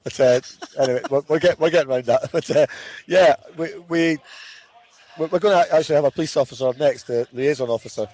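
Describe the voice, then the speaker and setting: A man's voice: fairly high pitch, quick pace, darkish tone. A man hosting a live broadcast with casual commentary, often repeating and restarting his phrases.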